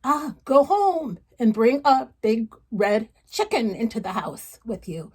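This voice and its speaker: A wise old woman of a storybook village, acted out by a woman reader. She speaks slowly and thoughtfully, in a raised voice, as one who hands out advice. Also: a little high, animated, a little mellow, breathy edge, heavily acted. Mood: advising. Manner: deliberate, advising.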